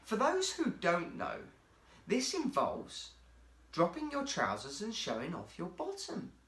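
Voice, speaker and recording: A man tells a children's story with lively, theatrical expression. His fairly high voice swings widely in pitch, and the room around him sounds echoey. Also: slow pace. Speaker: guest storyteller.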